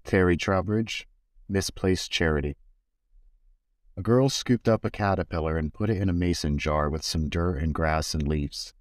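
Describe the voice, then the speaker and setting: A man's voice: sits low, moderate pace, no echo, studio quality. A man giving a literary reading of poems. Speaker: guest reader of poems.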